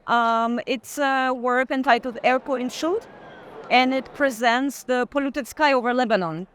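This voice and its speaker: A woman curator co-leading a live walking tour, talking in a measured, hesitant way with short pauses. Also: sits high, slight background noise. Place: art fair, walking.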